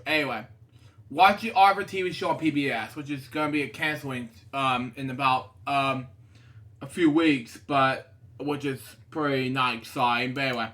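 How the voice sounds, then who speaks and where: A man with a notably high voice and a bright, forward tone reads a children's storybook aloud. His delivery is casual and unpolished, and he drifts from the text into rambling side remarks. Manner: rambling, digressive, often breaking off to comment. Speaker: storybook reader and show host. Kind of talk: casual read-aloud of a children's picture book with rambling asides.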